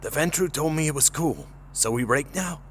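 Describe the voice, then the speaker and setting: A man's voice: on the high side, normal speed, fairly bright tone, slight background noise, close to an everyday voice. An actor playing a wounded, strung-out male vampire outcast who whines and pleads in an audio drama. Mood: groggy, craving.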